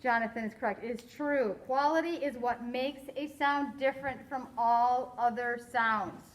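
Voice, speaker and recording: A woman teaching a science lesson to a class, quizzing her students in a slow, deliberate way. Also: fairly high pitch.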